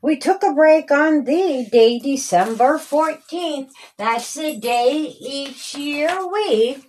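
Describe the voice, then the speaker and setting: A woman narrating a rhyming children's story in a deliberate, singsong storytelling manner. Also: high voice, lively intonation, a little mellow. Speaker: author reading own story.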